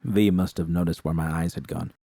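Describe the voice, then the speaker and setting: A man reading a first-person fan story aloud in an even, measured way. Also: sits low, vocal fry, no echo, clean studio sound. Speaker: fanfiction reader.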